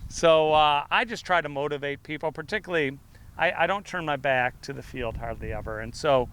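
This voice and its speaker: A middle-aged man, a head football coach with a loud voice, answering reporters in a loose, rambling way full of fillers and restarts. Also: on the high side, a little fast, slight background noise. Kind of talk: press conference answers.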